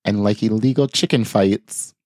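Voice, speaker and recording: Animated low-voiced man, dry close mic. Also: normal speed, dry recording. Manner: animated, laughs often, acts out silly voices. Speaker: podcast co-host. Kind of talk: casual comedic chat.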